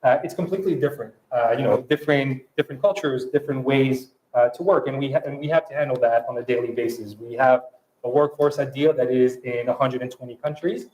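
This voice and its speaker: A man's voice: medium pitch, darkish tone, roomy sound, low-quality recording. French-accented man with many restarts. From France. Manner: halting, many restarts and fillers. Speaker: panel guest. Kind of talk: fireside chat on stage.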